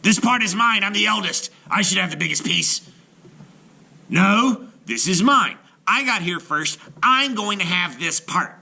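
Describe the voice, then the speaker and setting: A man's voice: high-pitched, lively intonation, unhurried, vocal fry, pitched above the performer's own voice, heavily acted. A man voicing a jealous, scornful older brother in a storybook read-aloud. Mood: greedy, quarrelling. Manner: sneering, growling, shouting.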